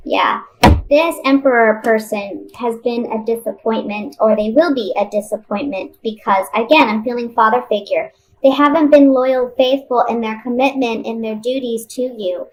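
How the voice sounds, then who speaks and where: High-voiced woman giving a casual tarot reading. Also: rough recording. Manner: conversational, thinking aloud with pauses and repeats. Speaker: tarot card reader.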